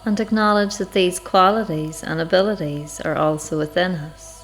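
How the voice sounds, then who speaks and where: A woman guiding a spiritual meditation in an unhurried, reflective, measured way, with long pauses between phrases. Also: lowish voice, fairly even intonation, poor audio. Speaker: guided meditation leader. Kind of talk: slow spiritual guided meditation narration. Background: music.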